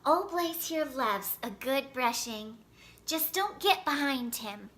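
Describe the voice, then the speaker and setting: Storybook role: friendly male farmer, read by a woman, folksy, encouraging, high-set and bright. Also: lively intonation, slow, full character voice. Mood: instructing.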